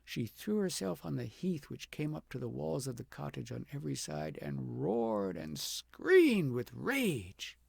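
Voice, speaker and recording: A man narrating a fairy tale aloud as an audiobook, with dramatic, deliberate phrasing that swells for emphasis and drops to a hush. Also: fairly high pitch, sing-song, creaky, husky, no echo, clean studio sound. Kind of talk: expressive storybook narration.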